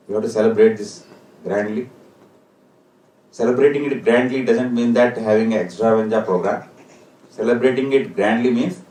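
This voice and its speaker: A man's voice: medium pitch, darkish tone. Indian man speaking formally in an echoey hall. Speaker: senior company official giving a speech. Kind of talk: formal ceremonial speech. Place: indoor function hall with PA. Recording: echoey hall, distant microphone.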